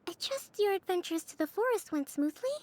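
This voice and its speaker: A woman voicing a gentle young priestess in a video game, speaking softly in a polite, measured, formal way. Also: very high voice, animated, noisy background. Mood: composed, explanatory. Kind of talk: video game character acting.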